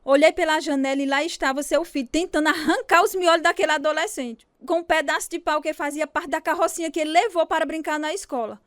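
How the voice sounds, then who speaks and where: A woman's voice: high-pitched, steady tone, fast talker, hardly any pauses, a bit bright, no echo, studio quality. A woman playing a formal, emphatic country schoolteacher in a radio drama. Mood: indignant.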